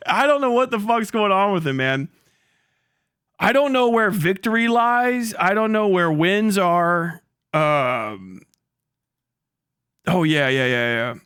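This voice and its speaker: A man's voice: sits high, animated, takes it slowly, pauses often, a bit bright, clean tone, dry recording, studio quality. A man giving casual livestream commentary as the host, rambling and stammering with plenty of swearing and an amused manner. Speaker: live streamer, host of the channel.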